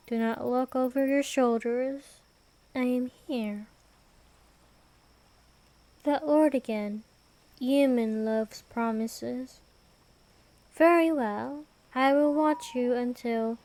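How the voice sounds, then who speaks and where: A woman playing an ancient vampire queen in an ASMR roleplay, aloof and menacing yet protective, with a measured, formal, imperious delivery. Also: high voice, warm tone, rough recording. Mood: reluctantly protective. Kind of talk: ASMR roleplay, scripted fantasy monologue.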